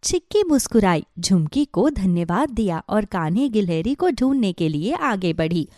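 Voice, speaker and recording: Animated female children's story narrator. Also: mid-range voice, normal speed, dry recording, clean studio sound. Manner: expressive storytelling.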